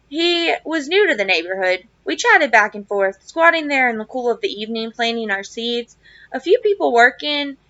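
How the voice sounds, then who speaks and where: Female educator for children's read-aloud, high-pitched and bright, expressive emphasis, dry close sound. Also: no echo, muffled recording. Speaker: teacher reading a book aloud to children. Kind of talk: read-aloud of a children's novel with casual asides.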